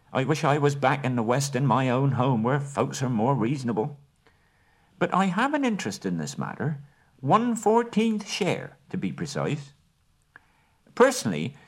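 A man voicing a small, shrewd male hobbit who talks in a brisk, businesslike, genteel way. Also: mid-range voice, breathy edge, voice pushed up, exaggerated voice.

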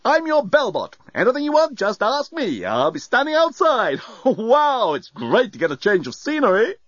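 A man's voice: very high voice, huge pitch swings, normal speed, a bit bright, dry recording, muffled recording, pitched above the performer's own voice, heavily acted. A man voicing a breezy, cheeky, over-familiar robot bellboy with exclamatory hipster delivery in an audiobook story. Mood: breezy, excited.

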